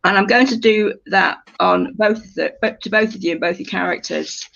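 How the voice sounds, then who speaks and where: Creaky-voiced woman leading a workshop. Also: medium pitch, moderate pace, a bit bright. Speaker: creative writing workshop leader. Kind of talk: conversational group teaching. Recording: dull lo-fi audio.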